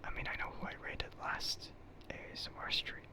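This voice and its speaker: A livestream host speaking in a soft breathy whisper, chatting in a rambling, hesitant, self-deprecating way in ASMR style. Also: fairly quick.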